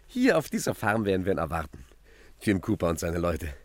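An actor playing a cocky, cunning gentleman gangster boss with swaggering emphasis in a 1950s satirical crime radio play. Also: low-pitched, very animated, a little fast, no room sound, clean studio sound. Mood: smug.

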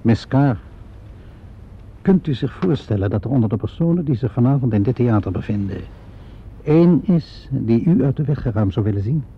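An actor playing a calm, probing police inspector in a Dutch radio play, questioning politely and methodically. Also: male voice, medium pitch, moderate pace, husky. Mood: measured, persistent. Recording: dull, lo-fi old radio recording.

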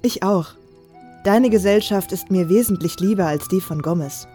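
A woman playing a self-assured, affectionate adventurer in a fantasy audio drama, speaking softly and intimately. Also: medium pitch, normal speed, faint music behind, close to an everyday voice. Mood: tender, amused. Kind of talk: fan audio drama acting.

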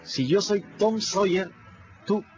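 An actor with a Peruvian Spanish accent playing a quick-witted young boy who tells his own story in a folksy first-person manner in a radio drama. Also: sits high, darkish tone, faint music behind. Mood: storytelling. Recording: dull lo-fi radio recording.

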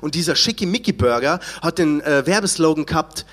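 A man's voice: a little high, expressive, a bit bright. A Bavarian man from Chiemgau doing live stand-up comedy, reciting a rhymed poem and riffing in a chatty, mock-indignant way. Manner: chatty, mock-indignant, playful drawn-out sounds. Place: live comedy show on stage.

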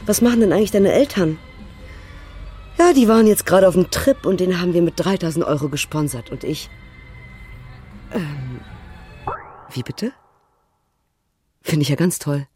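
A woman's voice: on the low side, moderate pace, long pauses, faint music behind, lightly acted. A woman playing an outspoken, exasperated partner in a cross-cultural couple, retelling their exchanges in a chatty, conversational way for a radio drama. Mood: annoyed. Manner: chatty, animated retelling, quoting both sides.

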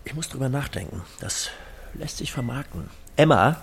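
Male, excitable scheming businessman: theatrical, effusive, widely swinging intonation, audio drama, faint noise behind. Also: medium pitch, normal speed, gravelly. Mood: enthusiastic scheming. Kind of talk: German audio drama acting.

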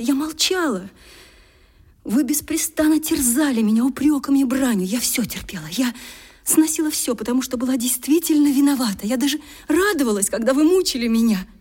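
An actress playing a proud, long-suffering young gentlewoman in a radio drama, solemn and impassioned, with breathy delivery and dramatic pauses. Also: fairly high pitch. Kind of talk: Russian radio drama acting, theatrical.

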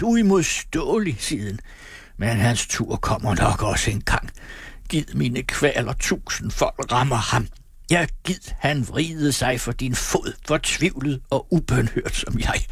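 A man performs a rejected suitor from a classic novel, wounded and bitter. The delivery is declamatory and melodramatic. The voice is somewhat husky and closely recorded, with little room sound. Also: lowish voice, lively intonation, dry recording, clean studio sound. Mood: furious. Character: bitter rejected suitor, pleading then raging and threatening.

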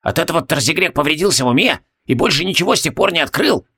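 A man voicing a frantic, cranky old mad alchemist in a fantasy audiobook, husky and barking, repeating himself excitedly. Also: a little high, fast talker, voice pushed up, full character voice. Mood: agitated.

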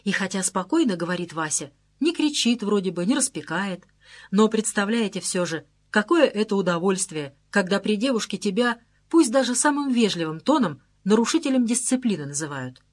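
Woman narrating a lively audiobook. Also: medium pitch, normal speed. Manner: lively, conversational storytelling. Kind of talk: scripted first-person narration.

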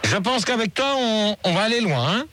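A man's voice: high-pitched, big pitch swings, moderate pace, sharp tone. A man hosting a comic parody radio debate, bossy and touchy, who trips over his words and repeats himself. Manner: bossy, easily offended, stammering and repeating words. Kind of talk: comedic mock radio phone-in.

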